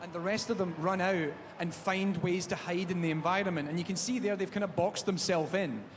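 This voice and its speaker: A fairly high-voiced man with a Glasgow accent hosts a live panel chat, telling stories with jokey energy. His voice rings through a large echoey hall, with a faint hum of noise underneath. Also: fairly quick. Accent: Glaswegian Scottish. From Scotland. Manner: jokey, animated storytelling. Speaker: panel host. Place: large theatre stage.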